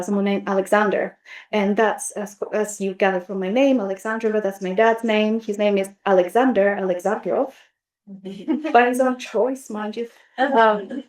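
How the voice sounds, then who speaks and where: A woman's voice: medium pitch, a little mellow. A woman whose first language is Bulgarian, talking thoughtfully in a roundtable on translation, with frequent restarts and fillers. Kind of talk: reflective academic conversation. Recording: roomy sound, distant mic.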